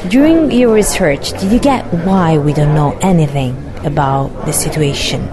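A woman interviewer asking measured, prepared questions about a film. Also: deep voice, animated. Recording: crowd noise behind.

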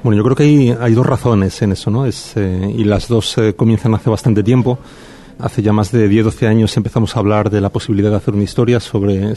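A middle-aged man speaks in a low, mellow voice. His delivery is flat and reflective, full of pauses, restarts and little tag questions as he answers at a press event. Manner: thoughtful, hesitant, many pauses and restarts. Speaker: film director. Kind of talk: festival press interview.

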